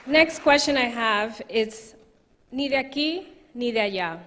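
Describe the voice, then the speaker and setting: A woman moderating a panel, asking questions in a measured, deliberate way. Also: a little high, lively intonation, fairly bright tone, a faint crowd, a little hiss or hum. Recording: long hall reverb.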